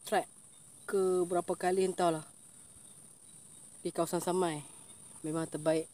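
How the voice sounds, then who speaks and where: A young man with a Malaysian Malay accent giving casual commentary while fishing outdoors, bursting into excited shouts when a fish strikes. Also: high voice, a little slow. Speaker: fishing vlogger. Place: outdoors by a swampy plantation stream.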